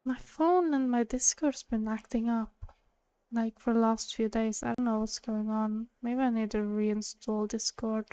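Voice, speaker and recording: A woman's voice: fairly high pitch, steady tone, unhurried, mellow, clear, resonant tone, no room sound, highs cut off. A woman streamer chatting casually and affectionately while she plays a game. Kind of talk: casual livestream chat. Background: game music.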